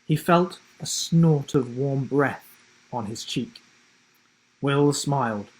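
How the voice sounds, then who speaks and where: Male author-narrator for children's fiction: slow, deliberate storytelling, breathy husky tone, expressive delivery. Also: mid-range voice, low-quality recording. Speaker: author reading his children's book.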